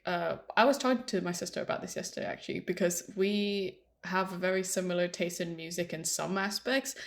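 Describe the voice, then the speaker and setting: A young woman from Hong Kong in casual podcast chat, explaining her thoughts at length. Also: fairly low pitch. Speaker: podcast co-host. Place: London.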